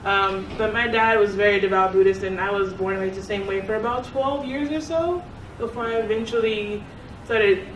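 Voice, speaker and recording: A woman's voice: mid-range voice, moderate pace, hardly any pauses. A young woman who grew up in South Korea, chatting casually as an interviewee, laughing often and restarting her sentences. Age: young adult. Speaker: interviewee, club secretary. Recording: echoey room, distant mic, noisy.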